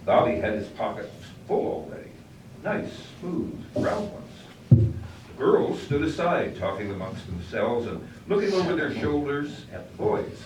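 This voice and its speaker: An echoey live recording with faint noise carries a man acting as a small-town American narrator. His scripted storytelling is folksy, and his voice moves freely around a middle pitch. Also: very animated, a little slow. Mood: scene-setting. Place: live play in a library. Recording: echoey, distant, faintly noisy room.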